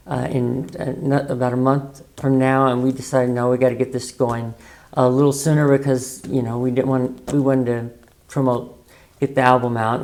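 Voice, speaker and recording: A man's voice: medium pitch, vocal fry, a little mellow. A man hosting a talk show, chatting casually and rambling with many fillers and restarts. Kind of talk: casual chat.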